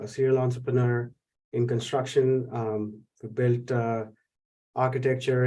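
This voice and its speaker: A man with a mid-pitched, dark voice speaks from some distance from the mic. His tone stays flat and even, and his slow delivery is broken by "uh" pauses. He introduces himself as an investor judging startup pitches. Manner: slow, hesitant, many "uh" pauses. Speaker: general partner at a venture fund, judge. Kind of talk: self-introduction at an online pitch event.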